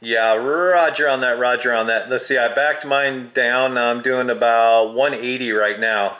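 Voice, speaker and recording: A man's voice: mid-range voice, steady tone, unhurried, very noisy. A man who is a hobby radio operator, chatting casually with radio jargon.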